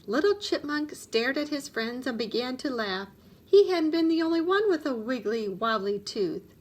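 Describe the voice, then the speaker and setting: A woman narrating a children's storybook aloud in an expressive read-aloud style. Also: high-pitched, moderate pace. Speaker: storybook reader.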